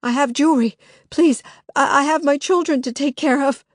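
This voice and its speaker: A terrified woman under attack, as a narrator voices her, pleading in a high, frantic voice. The recording is dry and close. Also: level tone, normal speed, vocal fry, dark tone, no echo. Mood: frightened.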